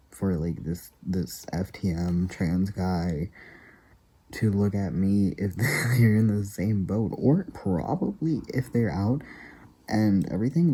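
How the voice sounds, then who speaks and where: Man, casual rambling vlogger, very dark mellow tone, laughs often between thoughts. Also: on the low side, breathy edge, low-quality recording. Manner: rambling, many fillers, frequent soft laughs.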